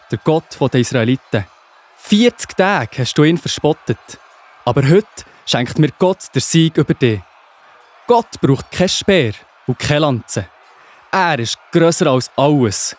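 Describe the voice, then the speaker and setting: A man's voice: fairly high pitch, a little mellow. A man with a Swiss German accent playing a brave, faithful young shepherd, earnest and declamatory, in a children's audio drama.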